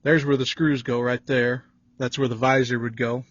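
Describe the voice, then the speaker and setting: Even-toned man, dull lo-fi recording. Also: medium pitch, moderate pace. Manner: folksy, informal, rambling. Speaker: vehicle DIY video creator. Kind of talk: casual handheld walkthrough. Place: in and around a pickup truck cab. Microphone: handheld phone or camera. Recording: dull lo-fi audio, roomy echo.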